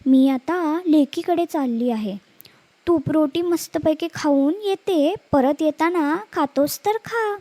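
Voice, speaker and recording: A woman's voice: sits high, slow pace, warm tone, dry recording. A woman voicing a clever old village woman in a children's story, nervous but cunning, with a coaxing, sing-song manner.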